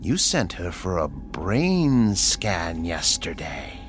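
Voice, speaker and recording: A man playing a sinister tormentor in a radio drama, menacingly polite, deliberate and taunting. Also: low voice, very animated, full character voice. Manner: taunting, deliberate, drops into whispers. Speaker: actor. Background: music.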